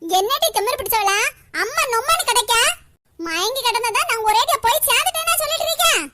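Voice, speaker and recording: A woman voicing a fierce old grandmother who shouts and scolds in a family drama. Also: very high-pitched, fast, heavy background noise. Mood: furious scolding.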